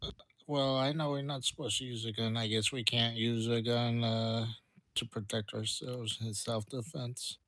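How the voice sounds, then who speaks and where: Male meeting participant, mid-range voice, halting delivery, casual questioning. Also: medium pitch, little pitch movement, a bit bright, dry recording. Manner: halting, hesitant. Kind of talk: casual discussion.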